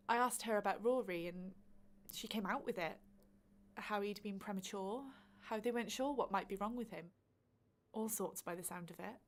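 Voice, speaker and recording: An actress as an older woman neighbour, chatty and a little nosy, yet kindly. She tells her tale in a gossipy, anecdotal way, as a radio play monologue, in a mid-pitched voice. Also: lightly acted.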